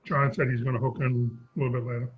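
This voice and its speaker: Hoarse male chair, halting read-through, muffled video-call sound. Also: mid-range voice, flat delivery. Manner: halting, stumbles over scripted wording. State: losing his voice. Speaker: chair of a town sewer commission. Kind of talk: reading a meeting script and chairing. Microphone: remote video call. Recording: dull lo-fi call audio.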